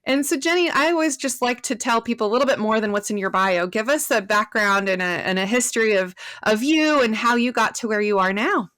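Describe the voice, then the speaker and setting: Lively woman podcast host. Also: on the high side, brisk, few pauses. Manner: lively explainer, asks "right?". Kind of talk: podcast teaching and interviewing.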